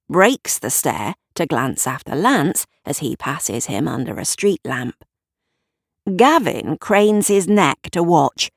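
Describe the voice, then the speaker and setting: A woman narrating an audio drama in a deliberate, wry, conspiratorial storytelling style. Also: sits low, no room sound, clean studio sound. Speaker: writer and narrator.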